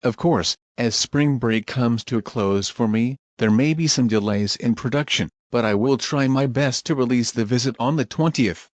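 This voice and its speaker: Young man, mid-pitched voice, scripted channel announcement, dry sound. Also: normal speed, hardly any pauses, dry recording. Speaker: channel creator.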